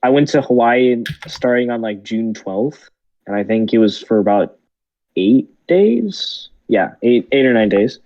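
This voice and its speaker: A man's voice: mid-range voice, animated, measured pace, darkish tone. A teenage boy chatting casually and joking around on a podcast, hesitating often with fillers. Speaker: podcast co-host. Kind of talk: casual chat. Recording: dull lo-fi sound.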